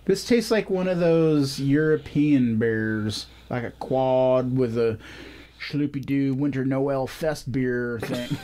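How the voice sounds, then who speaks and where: A man's voice: mid-range voice, takes it slowly, warm tone. A man co-hosting a podcast in a casual, conversational way. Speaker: podcast co-host. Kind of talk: casual panel chat.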